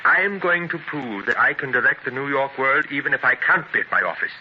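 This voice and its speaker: A man acting in a 1930s radio drama as a proud, ailing, half-blind newspaper publisher, speaking forcefully and emphatically. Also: medium pitch, animated, brisk, highs cut off, natural voice. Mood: defiant. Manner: declamatory, emphatic. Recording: scratchy, noisy 1930s radio transcription.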